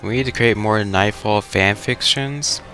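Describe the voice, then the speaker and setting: A man's voice: lowish voice, a little slow, bright, forceful tone, faint music behind. A man chatting casually while gaming, with a playful, teasing, joking way of talking over online voice chat. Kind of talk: casual chat during online game play.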